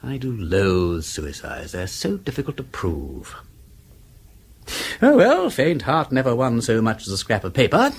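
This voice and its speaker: An actor playing a breezy, gallant English aristocrat detective with an upper-class accent, foppish, chatty and self-deprecating, in British radio drama style. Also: male voice, medium pitch, wildly swooping pitch, measured pace, slight background noise. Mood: brisk, determined. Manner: foppish, chatty, hesitant politeness.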